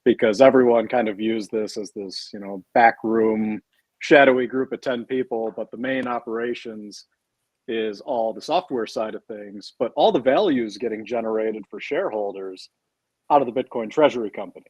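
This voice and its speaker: A man's voice: fairly low pitch, a little mellow, dry recording, dull audio. A man speaking as a panel guest in a casual discussion, explaining steadily and tagging his points with questions. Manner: explanatory, keeps adding tag questions.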